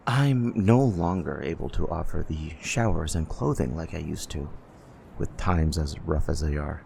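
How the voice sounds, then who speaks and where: A man playing a deferential, businesslike trader in human blood donors in an ASMR roleplay, gravelly, with a folksy salesman's patter. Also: a bass voice, a little slow, darkish tone, slight background noise, full character voice. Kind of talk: ASMR audio roleplay acting.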